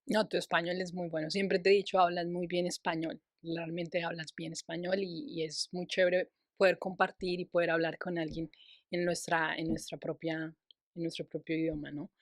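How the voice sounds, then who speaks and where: A woman's voice: fairly low pitch, flat delivery, normal speed, no room sound, clean studio sound. A young adult Colombian woman hosting a casual podcast interview, encouraging her guest and often restarting her phrases. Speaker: podcast host.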